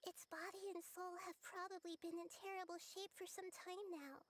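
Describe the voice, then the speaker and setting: A woman voicing a young girl who is a wise, gentle god, speaking softly and earnestly in a video game. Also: very high voice, level tone, moderate pace, slight background noise. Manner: soft, thoughtful and earnest.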